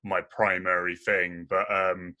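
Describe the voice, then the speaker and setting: A young man with a very deep voice, chatting casually as a podcast guest and laughing often at himself. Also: little pitch movement, slow, pushed and bright. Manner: laughs often, self-deprecating. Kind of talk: casual chat.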